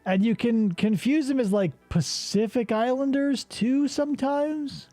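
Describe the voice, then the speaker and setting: A man's voice: very high voice, unhurried, warm tone, no room sound, faint music behind. A man hosting a casual comedy game show, rambling and sarcastic with profane asides.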